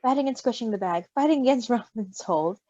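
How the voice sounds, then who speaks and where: A woman reading a story in an animated way, with giggly, casual asides. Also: on the high side, fairly quick, dry recording. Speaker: story reader. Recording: slightly dull, close dry recording.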